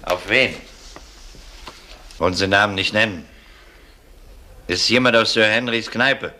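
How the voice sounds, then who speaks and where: Low, bright-voiced probing police inspector. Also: male voice, measured pace, slight background noise. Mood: measured, probing. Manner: clipped, questioning, dryly sardonic. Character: male police inspector, firm, dry, probing. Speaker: actor. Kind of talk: German crime radio drama acting.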